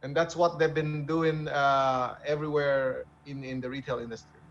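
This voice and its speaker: A man's voice: medium pitch, little pitch movement, moderate pace. A man casually explaining things as a co-host, hesitating, repeating words and checking in with tag questions. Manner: checks with "right?", repetitive, hesitant. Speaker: radio co-host. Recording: dull narrow audio, faint background noise.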